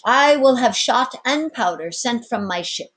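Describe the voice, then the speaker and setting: A woman's voice: a little high, lively intonation, fairly bright tone, breathy edge, voice pushed up, heavily acted. Woman voicing a commanding, wry sea captain. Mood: wry, challenging. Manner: brisk, formal, commanding.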